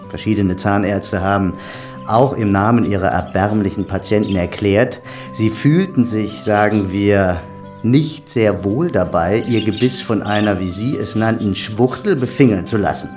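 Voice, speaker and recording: Actor as a low-voiced, prim middle-aged gentleman. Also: lightly acted. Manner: prim, euphemistic, measured. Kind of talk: radio play dialogue.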